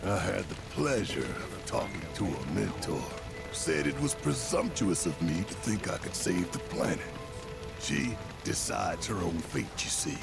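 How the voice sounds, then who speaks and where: A man's voice: lowish voice, very animated, unhurried, vocal fry, heavily acted. A man voicing a theatrical, musing character in a video game cutscene, with music and rain behind.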